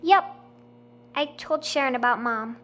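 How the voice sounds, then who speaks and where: A woman's voice: on the high side, unhurried, a bit bright. An actress playing a nine-year-old girl who chats earnestly and openly in a childlike way.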